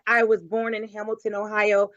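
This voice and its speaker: A woman's voice: mid-range voice, completely flat delivery, measured pace, fairly bright tone, no echo. A chatty woman in her forties tells her story with easy laughs, her sentences restarting as she goes. The recording is dull and dry, with no room sound around her. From Covington, Kentucky. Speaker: guest poet. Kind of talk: casual interview talk.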